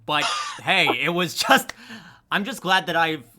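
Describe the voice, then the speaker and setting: A man's voice: on the high side, unhurried, bright, forceful tone. A man chatting casually as a panel guest, loose and informal, with hesitant phrasing. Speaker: panelist, amateur voice actor. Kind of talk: casual chat.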